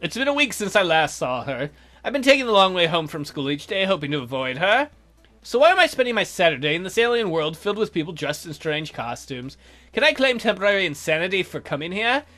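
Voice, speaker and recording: A man hosting a let's play, reading a visual novel aloud with expressive, wisecracking commentary. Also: high-pitched, a little fast. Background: music.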